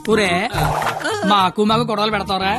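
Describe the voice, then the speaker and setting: An actor as indignant, very high-voiced man. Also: flat delivery, normal speed, close to an everyday voice. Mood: angry, sarcastic. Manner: scolding, emphatic, sarcastic. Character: indignant, scolding man disrupting a chaotic stage play. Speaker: actor in a film comedy scene. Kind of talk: Telugu film comedy acting. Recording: film audio, loud music and crowd.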